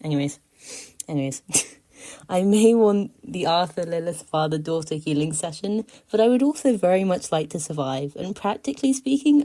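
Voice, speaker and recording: Wry woman with a mellow, lowish voice. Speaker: listener sending a voice submission. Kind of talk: casual persuasive monologue.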